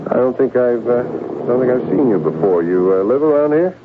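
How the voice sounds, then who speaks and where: An actor playing a nervous, suspicious American secret agent with a hesitant delivery in a 1970s radio drama. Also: male voice, mid-range voice, animated, a little fast, close to an everyday voice. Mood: flustered, friendly. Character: edgy American secret agent posing as stockbroker. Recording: muffled phone-like old radio recording, hiss.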